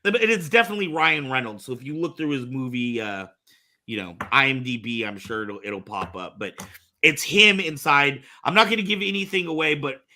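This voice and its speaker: A man's voice: medium pitch, a little fast, pushed and bright. A man hosting a podcast in a casual, conversational style. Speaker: podcast host. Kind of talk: casual chat.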